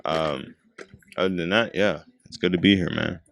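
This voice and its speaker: A young man in his twenties with a very low, deep voice talks in a relaxed, rambling way, full of "you know" and "um". He speaks into a handheld mic outdoors at a skate park. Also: moderate pace, creaky, a little hiss or hum. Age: 20s. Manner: laid-back, rambling with many fillers. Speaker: podcast guest. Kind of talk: casual chat.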